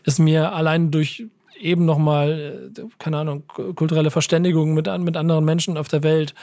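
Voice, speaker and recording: Male interviewer, fairly high voice, very dry recording, conversational and thoughtfully halting. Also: little pitch movement, a little mellow, no room sound. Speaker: interviewer, podcast host.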